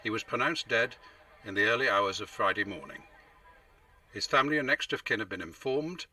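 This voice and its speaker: Police spokesman, very sharp formal voice, bit far from the mic, guarded. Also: lowish voice, lively intonation, brisk, slightly rough, full character voice. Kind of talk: formal press statement, audio drama.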